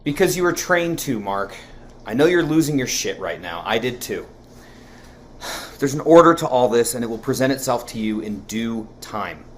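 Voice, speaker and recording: A man's voice: medium pitch, expressive, moderate pace, slight background noise, lightly acted. A man playing a blunt, sardonic office worker in a script table read, with a wry way of talking. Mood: steady, reassuring.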